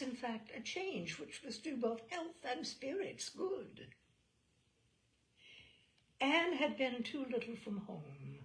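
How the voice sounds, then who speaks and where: A woman narrating literary fiction with a very animated, swooping intonation. Her voice often drops into a creaky fry. She reads in a measured, storytelling way, stressing some phrases and hushing others. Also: mid-range voice, poor audio. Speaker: audiobook reader and storyteller. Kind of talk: scripted literary narration, reading a classic novel aloud.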